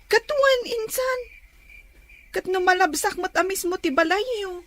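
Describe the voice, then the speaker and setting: A woman playing a lively young granddaughter in a radio drama, who talks quickly and excitably. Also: extremely high pitch, full character voice. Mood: chatty.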